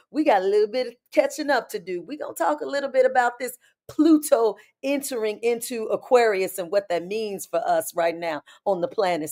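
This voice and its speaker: A woman with a hoarse, raspy voice, hosting a casual live-stream chat as a spiritual coach and talking familiarly to her viewers. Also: on the high side, darkish tone. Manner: chatty, familiar, calls viewers "baby" and "y'all".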